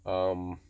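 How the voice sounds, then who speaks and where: A young man casually telling a story to his viewers in a monotone, laid-back way, often saying "bro". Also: sits low, creaky, smooth, no room sound. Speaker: vlogger.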